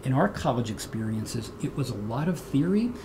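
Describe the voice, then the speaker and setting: A man's voice: mid-range voice, sing-song, normal speed, background noise. A middle-aged man, a guest in an interview, who grew up speaking Portuguese at home, talking earnestly and restarting his words. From United States. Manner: earnest, repeats words when starting. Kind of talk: interview conversation.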